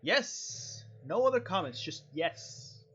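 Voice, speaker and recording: A man's voice: sits high, sing-song, slow, sharp tone, dull audio, faint music behind. A man doing casual, rambling let's-play commentary, reading game dialogue aloud and adding jokey asides. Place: at home.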